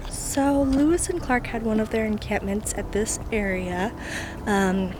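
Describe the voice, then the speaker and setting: A woman's voice: medium pitch, measured pace, loud music behind the voice, poor audio. A young woman with a slightly sore throat, chatting casually to a handheld camera as she vlogs her travels, with lots of ums. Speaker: travel vlogger. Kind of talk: casual vlog talk to camera. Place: in a car and outdoors by a river. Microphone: handheld camera at arm's length.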